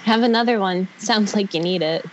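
A woman's voice: medium pitch, a little fast, close to an everyday voice. A woman playing a casual, wry teenage girl in an amateur film script table read. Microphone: Skype call. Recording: dull, noisy internet call.

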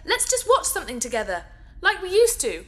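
Very high-pitched little teddy bear. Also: female voice, huge pitch swings, brisk, a bit bright, full character voice. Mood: pleading. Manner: earnest, pleading. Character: small living teddy bear, loyal, anxious, childlike. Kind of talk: science fiction audio drama acting.

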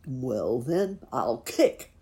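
A woman's voice: extremely low pitch, big pitch swings, mellow, slightly rough, heavily acted. A woman voicing a kind, brave male horse in a children's story, earnest and emphatic. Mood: defiant. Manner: neighing, earnest, emphatic, drawn-out.

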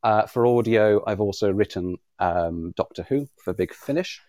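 A man's voice: fairly low pitch, expressive, moderate pace, darkish tone, dry recording. A British man in an informal live conversation, speaking in a wry, effusive, mock-formal way. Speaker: audio drama writer, interviewee. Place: remote live podcast session.

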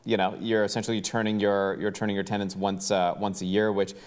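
A man's voice: fairly low pitch, little pitch movement, fairly quick. Informal man hosting an interview show. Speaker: podcast host. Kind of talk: casual interview and event promo.

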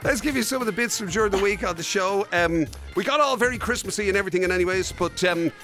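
An Irish man co-hosting a radio show in casual, comic banter, excitable and given to exclaiming. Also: high-pitched, quick pace, faint music behind. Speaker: radio show and podcast co-host.

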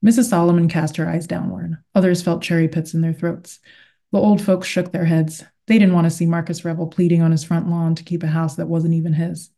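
Woman author doing a book reading, lowish voice, really mellow and dark. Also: level tone, fairly quick, vocal fry. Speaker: novelist and guest author. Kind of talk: interview talk and read-aloud of literary fiction.

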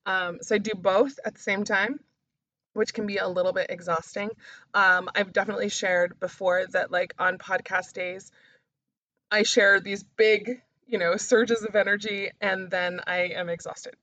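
A woman speaking as a coach and podcast host, sharing personal reflections in a candid, confessional way, halting and stressing key words. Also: medium pitch, fairly even intonation, fairly bright tone. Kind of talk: personal reflective talk to camera.

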